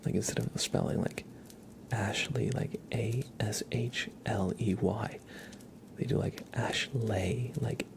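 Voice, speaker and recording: A man whispering softly and breathily close to the mic as he hosts an ASMR livestream, chatting casually and gently repeating words. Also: on the low side, steady tone, gravelly. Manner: soft, repeats words and names slowly.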